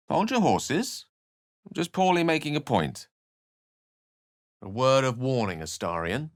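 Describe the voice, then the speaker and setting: An actor playing a learned, courteous and wry wizard with a touch of melancholy, speaking in articulate, theatrical phrases for a video game. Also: male voice, medium pitch, a bit bright, no echo, studio quality. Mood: animated, then stern. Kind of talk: video game character lines and narration.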